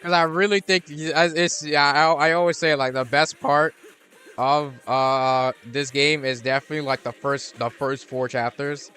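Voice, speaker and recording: A man's voice: fairly high pitch, steady tone, moderate pace, sharp tone, clear, resonant tone, faint music behind. A man hosting a gaming channel, rambling through casual gameplay commentary with lots of restarts and fillers. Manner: rambling, many restarts and fillers, laid-back.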